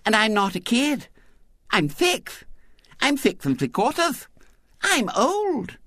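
Man putting on a high-pitched, bossy little girl. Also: huge pitch swings, slow pace, sharp tone, no echo, clean studio sound, exaggerated voice. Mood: meekly insistent. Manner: insistent, self-important, plaintive. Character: bossy, triumphant six-year-old girl, persistent and wheedling.

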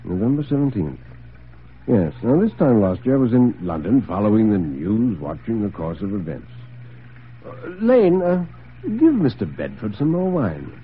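Man playing an urbane, animated gentleman host. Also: fairly low pitch, normal speed. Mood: courteous. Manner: courteous, chatty, solicitous. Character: genial, urbane gentleman host, sly and commanding. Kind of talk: dramatic radio storytelling. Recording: tinny, noisy old radio recording.

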